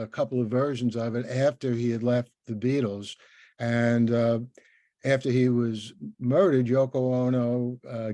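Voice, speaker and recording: Older guy in his 70s doing an interview, soft mellow voice, dry sound, kinda rambles and restarts. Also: medium pitch, no room sound. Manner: halting, thoughtful, rambling with many restarts. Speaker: interviewer and host. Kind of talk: conversational interview.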